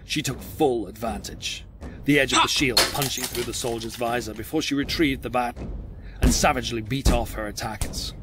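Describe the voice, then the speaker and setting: A man's voice: medium pitch, moderate pace, heavy background noise. A man delivering scripted dramatic narration as the narrator of an audio drama.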